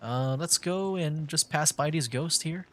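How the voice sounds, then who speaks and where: A man's voice: fairly high pitch, a little slow, fairly bright tone. A man doing casual live gameplay commentary as a streamer, hesitantly thinking aloud. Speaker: game streamer. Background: game music.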